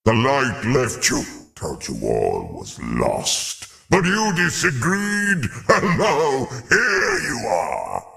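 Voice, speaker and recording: A man playing a grandiose, indulgent, scheming alien emperor in a video game, with a deep, raspy voice and pompous, theatrical delivery that savors each word. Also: wildly swooping pitch, slow, pushed and bright, full character voice. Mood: grand, gloating. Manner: pompous, theatrical, savoring words with big swells. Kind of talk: scripted video game dialogue.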